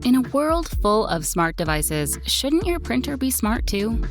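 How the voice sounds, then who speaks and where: A woman's voice: fairly high pitch, very animated, a little slow. A woman delivering scripted commercial voice-over for a sponsor spot. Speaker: advertisement voice-over. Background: music bed.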